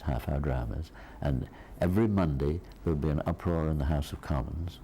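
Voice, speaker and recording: Older male raconteur, extremely deep and mellow, dry deadpan delivery, faint noise behind. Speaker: interviewee recalling radio drama history. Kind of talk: wry anecdote.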